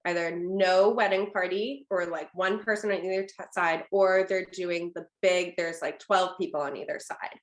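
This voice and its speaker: A woman's voice: on the low side, fairly even intonation. A woman wedding planner hosting a webinar, chatty and agreeable in casual conversation. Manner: chatty, agreeable, quick to laugh along. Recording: echoey room.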